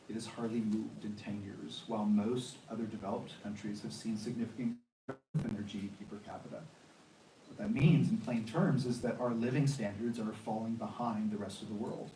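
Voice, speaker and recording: A man speaking as a political candidate, explaining his case in a measured, lecturing way in a debate answer. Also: on the low side, warm tone. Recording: echoey hall, distant mic, faint noise.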